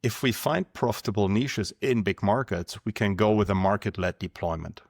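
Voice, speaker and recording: A man from Germany with a German accent, explaining things in a measured way as a podcast guest. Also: lowish voice, dry recording, clean studio sound. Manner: measured, explanatory, numbers-driven. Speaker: guest, company founder. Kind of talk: podcast interview.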